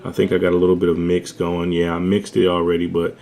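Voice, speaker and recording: A young man with a bassy voice, giving a laid-back, slangy home-studio tutorial. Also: monotone, fast, creaky, a little mellow. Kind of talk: casual tutorial talk.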